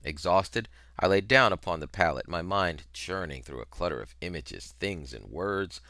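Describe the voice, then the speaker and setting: A middle-aged man narrating a novel in an expressive, dramatic style, with emphatic, deliberate phrasing on a headset mic. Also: low voice, no room sound, studio quality. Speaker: reader of a children's novel.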